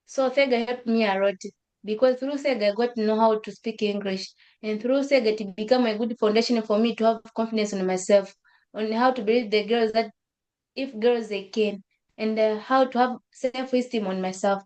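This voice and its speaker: A young woman from Africa, sharing her story on a webinar call in halting English with many fillers and repeated phrases. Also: fairly high pitch, fairly even intonation, darkish tone, rough recording. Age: young adult. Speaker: school graduate. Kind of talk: webinar testimony.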